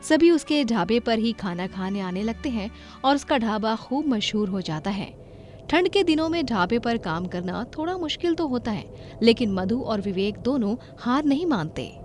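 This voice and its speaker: A woman narrating a cartoon moral story in an expressive storybook style. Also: fairly high pitch, moderate pace. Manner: expressive, emotional. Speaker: narrator and heroine Madhu. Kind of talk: storybook narration and acted dialogue. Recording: background music under voice.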